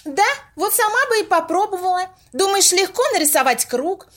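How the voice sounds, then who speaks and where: Bossy, snippy woman running a séance in a radio play, amateur actress: very high voice, grumbling colloquial delivery. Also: animated, normal speed, fairly bright tone. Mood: irritated. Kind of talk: amateur audio play acting.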